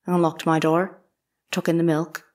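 Woman acting reserved housemaid witness, low female voice, flat delivery, terse matter-of-fact answers, courtroom drama. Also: moderate pace, close to an everyday voice. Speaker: actor in a dramatic reading. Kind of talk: courtroom testimony, dramatic reading of trial transcript.

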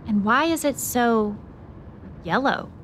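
A woman's voice: fairly high pitch, expressive, takes it slowly, fairly bright tone, a little hiss or hum. A woman playing a plucky, self-assured investigative reporter in a scripted radio drama, inquisitive and theatrical.

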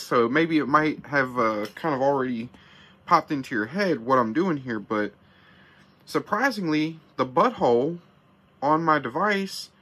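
A man's voice: on the high side, bright, forceful tone. A man in his thirties giving a casual, profane, hyped product review in slang, seated in a small camper van and talking into a camera close by. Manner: hyped, slangy, swears a lot.

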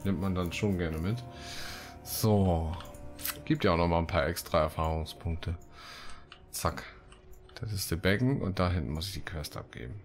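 A man with a very low voice hosts a Let's Play. He talks casually and jokingly, half to himself, and reads quest text aloud. Faint game music runs behind him. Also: normal speed. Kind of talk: casual gaming commentary with read-out quests.

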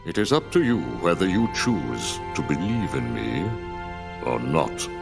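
An actor playing a mysterious cloaked older man in a video game, speaking in a solemn, cryptic way with a gravelly voice over game music. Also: sing-song, measured pace, heavily acted. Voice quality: deep gravelly voice. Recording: game audio with loud music underneath.

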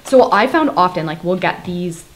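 A woman from Canada in her thirties talks casually through her groceries at home, her voice sitting low for a woman. She speaks in a loose, chatty way with plenty of "like" and "um". A steady hiss of background noise runs under the recording. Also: moderate pace. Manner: chatty, lots of "like" and "um" fillers. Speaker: vlogger. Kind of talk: casual grocery haul chat. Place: kitchen at home, indoors.

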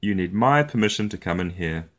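A man reading a picture book aloud for young children in an expressive storytelling style, with deliberate, playful phrasing. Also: sits low.

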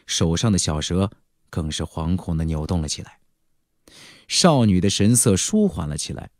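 A man's voice: low voice, darkish tone, dry recording, clean studio sound. A man narrating a fantasy audiobook in a theatrical, emphatic style, drawing out key phrases for drama. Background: faint background music.

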